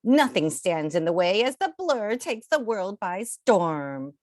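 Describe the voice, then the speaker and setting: A woman reading aloud to children in an expressive, theatrical storytelling style, drawing out key words. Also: mid-range voice, slow, no echo, studio quality. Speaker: children's picture book reader.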